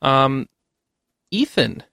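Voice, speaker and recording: A man's voice: mid-range voice, very animated, very slow, gravelly, bright, forceful tone, clear, resonant tone, no room sound, clean studio sound. A man hosting a casual panel podcast, moderating the conversation among the other speakers. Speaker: podcast host.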